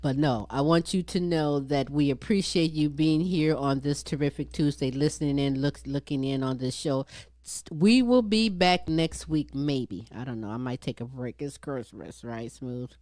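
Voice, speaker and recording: A woman with a low voice hosts a talk show. She talks in a playful, sassy way and drags out endearments. The sound is dry and close. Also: normal speed, darkish tone, dry recording. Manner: playful, sassy, drawls "baby". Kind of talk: lively casual show chat.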